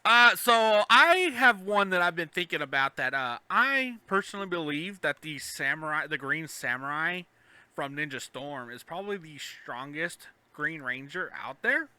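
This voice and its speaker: A man co-hosting a podcast in casual chat, halting and repeating the starts of his phrases. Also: a little high, very animated, pushed and bright, clear, resonant tone, no room sound. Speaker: podcast co-host.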